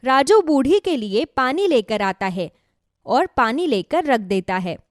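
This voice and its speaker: A woman's voice: sits high, sing-song, measured pace, dry recording, studio quality. A woman narrating a scripted children's moral story as a storyteller.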